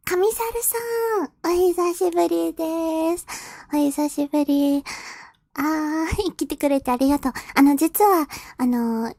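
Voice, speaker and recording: A woman's voice: very high voice, normal speed, vocal fry, a little mellow, no room sound, studio quality. A woman streamer reading fanfiction aloud, giggling and stumbling over the words as she goes.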